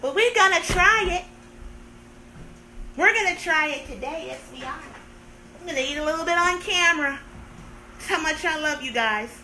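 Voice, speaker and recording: High-voiced woman joking on a livestream. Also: animated, moderate pace, long pauses, sharp tone, a little hiss or hum, rough recording. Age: 30s. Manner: playful, sarcastic, theatrical complaining. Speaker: livestream host. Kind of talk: casual live-stream chat with viewers.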